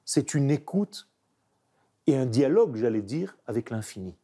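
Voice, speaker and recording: Animated man with a mellow voice. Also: medium pitch. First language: not French. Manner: animated, emphatic, searching pauses. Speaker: painter guest. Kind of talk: reflective interview talk.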